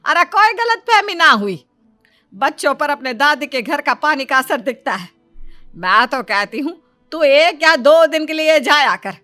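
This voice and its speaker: A woman's voice: high voice, very animated, a little slow, sharp tone, no room sound, soft background music, full character voice. A woman playing a doting, nagging elderly Indian grandmother in a family cartoon story, fussing and exclaiming. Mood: scolding, complaining. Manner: scolding, fussing, exaggerated exclamations.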